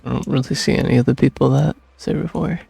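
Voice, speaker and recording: A man giving casual playthrough commentary as a game streamer, with a gravelly, husky voice. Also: mid-range voice, fairly even intonation, fast, dark tone, dry recording.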